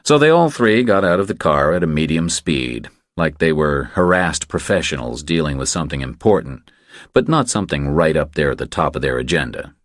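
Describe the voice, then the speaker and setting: A man narrates a thriller audiobook in a deep voice. His pitch moves in an animated way through the scripted prose. The sound is close and dry, with no room on it. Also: brisk, dry recording.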